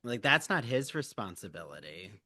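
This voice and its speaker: A man's voice: medium pitch, very animated, normal speed, pushed and bright, no room sound, studio quality. A man hosting a podcast, chatting casually in a sympathetic, conversational way. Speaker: podcast host. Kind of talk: casual discussion.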